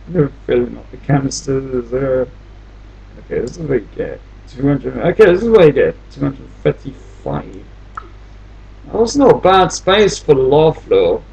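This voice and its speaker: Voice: mid-range voice, gravelly, a little mellow, husky, lo-fi sound. A gamer giving casual commentary on their own play, muttering to themselves and often repeating phrases. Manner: mumbling to self, repeating phrases.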